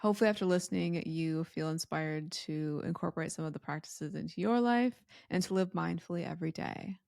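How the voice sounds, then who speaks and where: A woman's voice: lowish voice, fairly quick, gravelly, a little mellow, dry recording, clean studio sound. A woman hosting a podcast, introducing her guest and asking questions in a bubbly, chatty, enthusiastic way. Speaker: podcast host.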